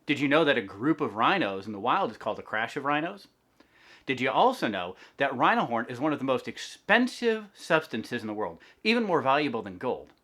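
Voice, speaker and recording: A man giving an expressive, clearly phrased read-aloud of a children's storybook. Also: medium pitch, brisk. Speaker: volunteer storybook reader for a wildlife nonprofit.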